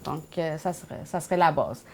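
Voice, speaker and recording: A woman from Iran with a Persian accent, explaining cooking casually as a guest, in halting second-language phrasing. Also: low-pitched, creaky, a crowd in the background. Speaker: guest home cook.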